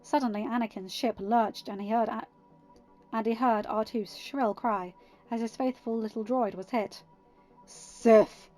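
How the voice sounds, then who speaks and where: A woman's voice: a little high, steady tone, moderate pace, darkish tone, soft background music. A woman reading scripted fan fiction narration as an audiobook reader.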